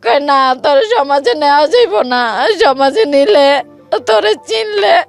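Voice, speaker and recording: A woman with a high voice and a Bangladeshi accent shares her personal story. She speaks in plain colloquial words, acting out past conversations with feeling. Faint music plays behind her. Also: animated, moderate pace, gravelly. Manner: plain colloquial speech, reenacting quoted exchanges. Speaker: guest telling her life story.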